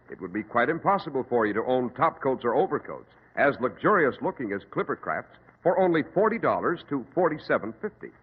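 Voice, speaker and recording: An American man hosting a radio show and pitching its sponsor in polished, folksy 1940s advertising patter. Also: slightly rough. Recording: tinny, echoey old radio broadcast.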